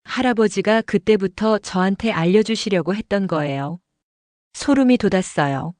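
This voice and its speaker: A woman narrating an audio drama in the first person, in a calm storytelling manner, recorded close. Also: medium pitch, normal speed, dry recording.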